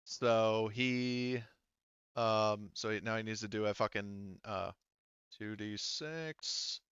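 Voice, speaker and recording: Low-voiced man with a muffled recording. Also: a bit bright, clean tone, no room sound. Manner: halting, stop-and-start delivery. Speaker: game master. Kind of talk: running a tabletop roleplaying game, improvised narration and rules talk.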